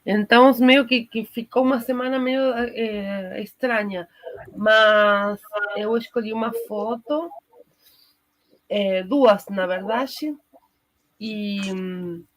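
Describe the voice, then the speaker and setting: A woman taking part in a workshop over a video call, halting and trailing off with many fillers. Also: medium pitch, fairly even intonation, dry recording. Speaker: workshop participant. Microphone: video call without camera.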